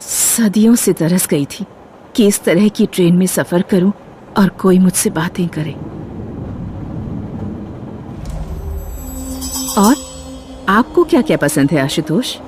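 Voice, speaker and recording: An actress playing a mysterious, fearless young woman passenger in a Hindi animated horror story, with a playful, teasing manner. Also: on the low side, unhurried. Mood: wistful, curious. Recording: music under the dialogue. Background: music.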